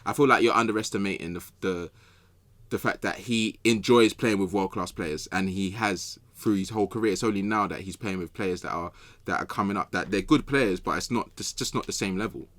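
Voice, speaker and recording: A young man with a low voice and a London accent, recorded dry and close. He speaks in slangy, emphatic bursts, like someone arguing his case on a casual football podcast. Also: a little fast, fairly bright tone, no echo. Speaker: podcast guest pundit.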